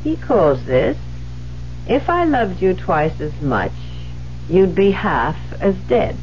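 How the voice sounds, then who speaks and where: An actress in a 1970s radio drama playing a cold, unhinged woman writer who killed her husband, speaking deliberately and dramatically. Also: lowish voice, very animated. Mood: cold, controlled. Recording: dull, noisy 1970s radio recording with hum.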